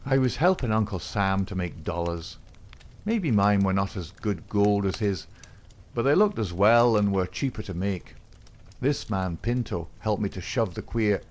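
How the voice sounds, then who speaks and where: A man's voice: lowish voice, unhurried. Man voicing a cool, cocky young outlaw. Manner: calm, coolly defiant.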